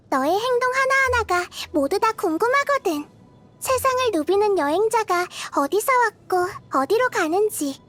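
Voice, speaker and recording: A woman voicing a tiny, childlike goddess of wisdom in a video game, cute and girlish, gentle, curious and thoughtful, musing softly. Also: extremely high pitch. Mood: tender, curious. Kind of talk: video game character voice lines.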